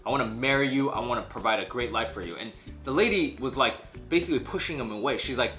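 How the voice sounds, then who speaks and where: Animated man, bright voice. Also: mid-range voice, quick pace, soft background music. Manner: animated, slangy, acts out imagined quotes. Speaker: co-host of a news chat show. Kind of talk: casual two-person chat retelling a news story. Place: small furnished room indoors, on a couch.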